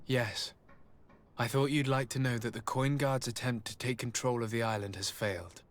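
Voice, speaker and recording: A man acting a dutiful young nobleman hero in a video game, calm, measured and sincere. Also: mid-range voice, natural voice.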